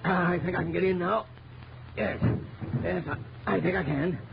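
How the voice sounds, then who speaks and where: An actor playing an emphatic, commanding village sheriff in a 1930s radio drama. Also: male voice, high-pitched, moderate pace, vocal fry. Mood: urgent, commanding. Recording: dull, noisy 1930s radio recording.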